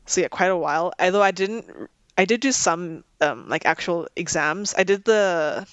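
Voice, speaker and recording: A young South African woman speaks casually into a close, dry mic, with no room sound. She fills her sentences with "like" and breaks into quick giggles, sounding relaxed and easygoing. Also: lowish voice, clean tone, no room sound, highs cut off. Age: young adult. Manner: chatty, many "like" fillers, giggly. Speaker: interviewee, engineering student. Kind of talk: casual interview chat.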